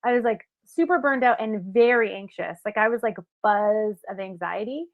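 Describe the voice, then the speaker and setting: A woman's voice: medium pitch, moderate pace. A woman speaking candidly and self-mockingly in a casual chat, with many fillers. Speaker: guest reacting to her old video. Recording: tinny phone-like call audio.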